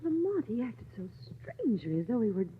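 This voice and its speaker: A woman's voice: on the high side, very animated, brisk. An actress playing a sleuth's anxious, inquisitive female companion in an old radio mystery, urgent and questioning. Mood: alarmed. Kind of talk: old-time radio acting. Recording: hissy, narrow vintage radio recording.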